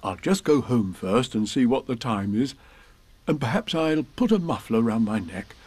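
A man's voice: medium pitch, expressive, normal speed, a little mellow, husky. A man voicing a cheerful, muddled male teddy bear who hums and talks in a bumbling, sing-song way.

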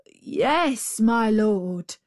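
A woman's voice: on the high side, lively intonation, drawn-out words, no room sound, studio quality. A woman voicing a male storybook jackal who plays dim, fretful and hesitant, with an obsequious manner. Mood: flustered. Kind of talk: storybook character voice.

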